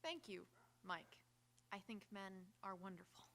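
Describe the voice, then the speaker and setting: A woman's voice: medium pitch, animated, unhurried, fairly bright tone. A young woman playing a proud, wry, emotional society bride in live radio theatre. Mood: cool, resolved.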